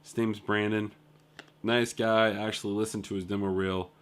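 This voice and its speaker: Young male indie dev, lowish voice, loose rambling video-diary storytelling. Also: level tone, moderate pace. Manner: rambling storytelling with filler words and asides. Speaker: indie game developer. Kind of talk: casual video diary recap.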